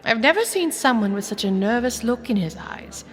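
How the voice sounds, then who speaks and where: A woman playing a sardonic, wary young woman short of money in an audio drama, with wry, expressive inner monologue. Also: on the high side, moderate pace, big-room sound, close to an everyday voice. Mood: suspicious. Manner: wry, expressive, with sighing interjections. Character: young cash-strapped woman, sardonic, wary, inwardly flustered. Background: music.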